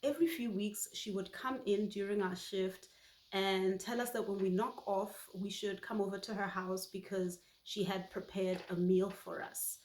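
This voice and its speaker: A woman in her forties from Botswana, whose first language is Setswana, telling personal stories in a warm, heartfelt way. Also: mid-range voice, fairly even intonation, normal speed, reverberant. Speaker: YouTube host. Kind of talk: personal reflective monologue.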